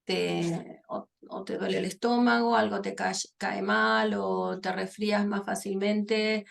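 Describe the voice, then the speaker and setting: Middle-aged woman from Argentina, interview guest, gravelly fry, halting and reflective delivery. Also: medium pitch, steady tone. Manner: thoughtful, pausing with many fillers and restarts. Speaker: guest psychologist and author. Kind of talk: conversational interview.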